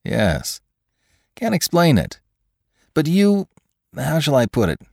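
A man's voice: a little high, huge pitch swings, slow, gravelly, darkish tone, no room sound, clean studio sound, pitched above the performer's own voice, exaggerated voice. A man voicing an eager, naive male scientist in a story, effusive and full of exclamation. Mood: musing, bubbly.

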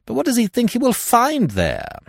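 A man's voice: very high voice, huge pitch swings, normal speed, gravelly, husky, dry recording, pitched above the performer's own voice, heavily acted. A man playing an arrogant male police detective who speaks rudely and with contempt. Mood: scornful. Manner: rude and disdainful.

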